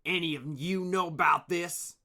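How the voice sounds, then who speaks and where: A man voicing an angry, bossy adult team leader who shouts accusations at workers. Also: mid-range voice, expressive, normal speed, voice pushed up. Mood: furious.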